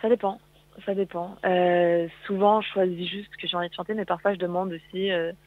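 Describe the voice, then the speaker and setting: A woman's voice: fairly low pitch, fairly even intonation, a little fast, no room sound. A woman aged 21, chatting casually and a little hesitantly as an interview guest over a phone line. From Paris, France. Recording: tinny narrowband phone line.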